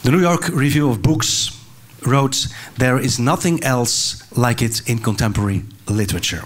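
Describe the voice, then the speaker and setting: A man's voice: mid-range voice, animated, moderate pace, slight background noise. An older man introducing and interviewing an author on stage, earnest, with restarts and fillers, into a handheld mic. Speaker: moderator. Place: stage of a large hall.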